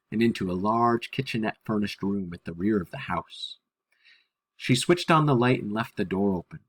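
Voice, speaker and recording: A man's voice: fairly low pitch, breathy edge, no echo. A man reading a short story aloud as narrator, expressively.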